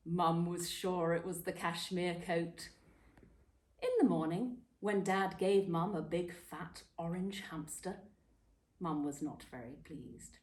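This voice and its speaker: A woman reading a children's book aloud as a storyteller, expressively and dramatically, with emphatic pauses and stressed words. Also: on the low side, a little mellow. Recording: echoey room.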